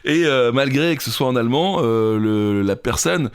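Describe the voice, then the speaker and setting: A man's voice: medium pitch, lively intonation, moderate pace, clean tone. A man, co-hosting a quiz podcast with casual, jokey banter. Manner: jokey, informal.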